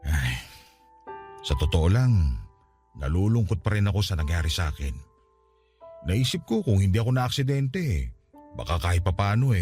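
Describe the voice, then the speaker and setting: A man's voice: sits low, a little mellow. An actor playing an ailing middle-aged Filipino father and former seaman, tender and wounded, speaking earnestly in a radio drama. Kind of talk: Tagalog radio drama acting.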